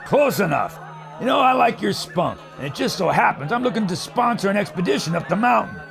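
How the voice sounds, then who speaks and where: A man's voice: high voice, big pitch swings, brisk, gravelly, over music, full character voice. An actor playing a brash, folksy, confident adventurer leading a party in a pulp radio adventure, hearty and persuasive as a salesman. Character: brash, jolly, confident male adventurer and leader.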